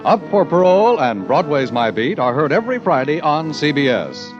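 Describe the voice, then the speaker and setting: A man's voice: fairly high pitch, big pitch swings, normal speed. Old time radio announcer guy, dramatic n formal, muffled recording, music under him. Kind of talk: 1950s scripted radio announcing.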